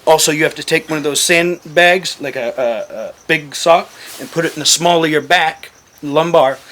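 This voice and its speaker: A man's voice: a little high, moderate pace, fairly bright tone. A man telling stories casually to a group in a blunt, joking, heavily profane way. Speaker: firearms gear talk guest and former overseas contractor. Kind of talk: casual storytelling to a small group.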